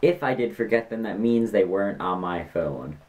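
Chatty teen guy, slightly low voice. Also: animated, normal speed, a little mellow. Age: teens. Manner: chatty, reads jokes aloud in mock voices. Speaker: YouTuber host. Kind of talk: casual vlog reading comments. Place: small bedroom, indoors.

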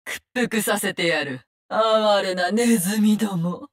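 A vengeful female assassin from a tactical video game. Her voice sits at a medium pitch. She delivers short, commanding lines with icy contempt and menace. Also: slow. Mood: menacing. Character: menacing, cold, vengeful woman poison-gas assassin. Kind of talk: video game character barks in Japanese.

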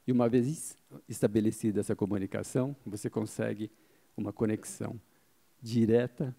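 A man's voice: on the low side, steady tone, moderate pace, gravelly, dark tone. A middle-aged man giving a conference talk into a handheld microphone, explaining points and answering his own questions. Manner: explanatory, asks himself questions and answers them, frequent "tá?" tags. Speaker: speaker giving a marketing talk. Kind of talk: conference talk to a live audience. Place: event hall with a seated audience.

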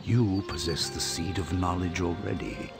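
An actor playing an ancient forest spirit beast, speaking in a grave, formal, oracular way for an animated game. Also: male voice, low voice, moderate pace, gravelly. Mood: solemn. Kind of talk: animated game cutscene acting. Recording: music behind the voice.

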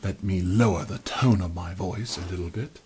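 A man's voice: deep voice, moderate pace, noisy background, lightly acted. A man playing a chummy, genteel American spy gadget supplier disguised with a put-on British accent, reminiscing in old-fashioned phrases in an improvised roleplay. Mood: fond, nostalgic. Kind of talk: improvised one-sided spy roleplay, addressed to the listener.

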